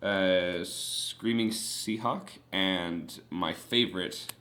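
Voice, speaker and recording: A young man with a fairly low voice talks through his card pickups in a loose, casual vlog style. He rambles happily, dotting his speech with ums and uhs as he goes. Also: drawn-out words, pushed and bright. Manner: chatty and enthusiastic, many fillers. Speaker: vlogger showing trading cards.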